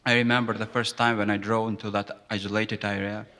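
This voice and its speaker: Earnest man from Kosovo, slightly low voice. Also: level tone, fairly quick, sharp tone, in a large hall. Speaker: interviewee. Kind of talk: formal interview testimony.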